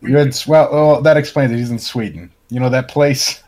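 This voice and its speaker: A man's voice: medium pitch, a little fast, a loud crowd. A man co-hosting a podcast, teasing the others with jokey asides. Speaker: podcast co-host. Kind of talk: casual chat.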